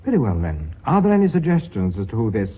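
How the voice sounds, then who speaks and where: British male vice chairman for radio play: orderly, exasperated, slightly husky, very varied delivery. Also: lowish voice, wildly swooping pitch, fast talker, heavily acted. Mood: exasperated, pleading. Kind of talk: BBC radio drama acting. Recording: dull lo-fi radio recording.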